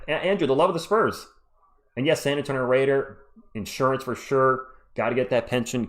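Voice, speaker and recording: A man hosting a live sports stream, chatting casually and reading viewer comments aloud, his voice worn out. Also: mid-range voice.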